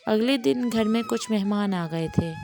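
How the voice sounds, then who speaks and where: A woman storyteller narrating a scripted domestic tale in an unhurried, measured manner. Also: mid-range voice, darkish tone, faint music behind. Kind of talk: scripted audio storytelling in Urdu with acted dialogue.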